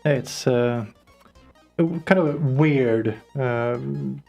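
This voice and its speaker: Co-host: mid-pitched man, rambling and halting, faint music behind. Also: creaky, highs cut off. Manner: rambling, halting, many uh fillers. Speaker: radio co-host. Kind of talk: casual live radio chat. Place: basement studio.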